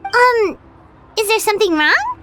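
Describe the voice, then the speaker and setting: A woman voicing a small, perky, childlike fairy companion in an animated video game, chattering excitably. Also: very high-pitched, a little slow, a little hiss or hum, exaggerated voice. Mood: curious. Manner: excitable, third-person chatter. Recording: faint background music.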